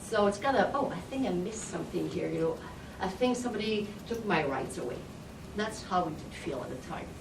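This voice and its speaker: A woman's voice: fairly low pitch, lively intonation, brisk, creaky, hardly any pauses. A woman whose first language is French, speaking with a French Canadian accent, giving a chatty conference presentation and searching for words now and then. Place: conference room. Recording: echoey hall, distant mic, audience noise.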